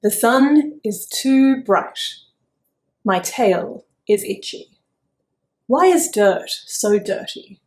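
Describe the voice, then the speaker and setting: A woman's voice: fairly high pitch, animated, drawn-out words, darkish tone. A woman voicing a grumpy, stubborn little hen in a read-aloud picture book, snapping and grumbling.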